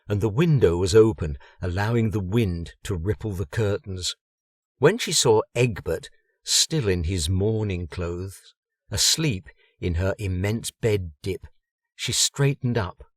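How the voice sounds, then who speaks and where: Low slow male narrator, dry close studio sound, measured storytelling. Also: animated, slightly rough, no room sound. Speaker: audiobook narrator. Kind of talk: scripted narration.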